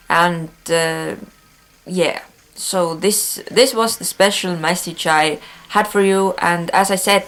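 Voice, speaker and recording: A woman whose first language is Estonian, speaking English with an Estonian accent as a news vlog host, earnest, with frequent pauses and filler sounds. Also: on the low side, a bit bright.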